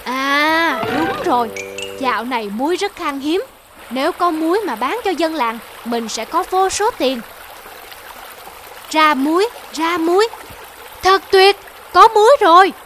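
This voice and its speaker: A woman's voice: very high voice, lively intonation, a little slow, long pauses, fairly bright tone, a little hiss or hum, full character voice. A woman voicing a greedy, hapless man in a cartoon folk tale, exclaiming and questioning himself. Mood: scheming, then elated.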